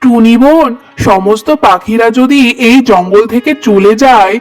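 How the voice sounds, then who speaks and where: Male cartoon pigeon, played by a man: high-pitched, urgent, bossy, slightly off-mic with faint music. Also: a little slow, far from the mic. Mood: warning. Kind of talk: children's cartoon voice acting.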